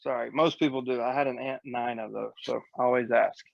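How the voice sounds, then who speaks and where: A man's voice: medium pitch, fairly even intonation, a little fast, gravelly, no echo, highs cut off. A man hosting a casual coaching call, speaking in an earnest, colloquial way as a real estate coach.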